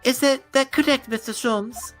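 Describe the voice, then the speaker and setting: A woman's voice: high-pitched, fairly even intonation, moderate pace, soft background music, close to an everyday voice. A woman voicing a prim young Japanese woman in a fan dub of a game, speaking in an indignant, accusing way.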